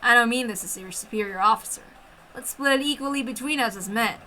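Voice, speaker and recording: A woman's voice: fairly high pitch, fast, bright, forceful tone. A woman voicing a brutal, greedy military officer in a menacing, accusatory manner for a story reading. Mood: scheming.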